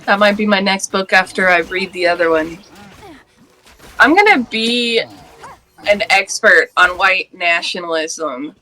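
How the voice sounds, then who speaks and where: A woman's voice: medium pitch, a little slow, sharp tone. A woman in her late thirties from Georgia, talking earnestly and passionately in a casual panel discussion, laughing now and then. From Georgia, US Deep South. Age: 30s.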